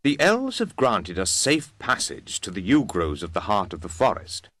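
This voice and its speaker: A man's voice: on the low side, wildly swooping pitch, normal speed, a bit bright, no room sound, faint music behind, full character voice. An actor playing a practical male adviser who briefs his commander in a fantasy game. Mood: practical, informative. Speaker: briefing narrator.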